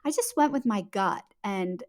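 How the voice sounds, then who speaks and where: A woman with a mid-pitched voice answers as a podcast guest. She talks like a storyteller in conversation, candid and reflective. She leans on words and repeats them for emphasis. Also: lively intonation, normal speed, a little mellow. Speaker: author and TV producer, podcast guest.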